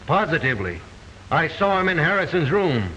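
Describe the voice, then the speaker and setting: An actor playing a gruff, defiant man at the hotel in a 1940s radio drama. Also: on the high side, big pitch swings, fairly quick, sharp tone. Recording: dull, noisy old radio recording.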